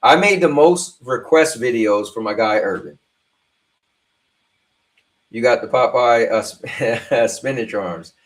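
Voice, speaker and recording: Man in his fifties, livestream host, loose rambling chat, mid-pitched voice, roomy echo. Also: animated, takes it slowly, long pauses, distant mic. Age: 50s. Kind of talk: casual chat with a livestream audience. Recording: roomy sound, away from the mic.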